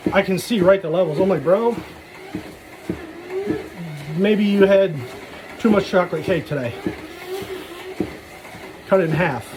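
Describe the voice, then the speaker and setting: A man with a rather high-pitched voice talks in a loose, casual vlog style. He wanders between thoughts and slips in self-deprecating jokes. Clear ambient noise, with some vehicle sound, runs behind him. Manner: self-deprecating, jokey asides. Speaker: vlogger. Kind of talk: casual rambling personal vlog. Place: talking while out walking.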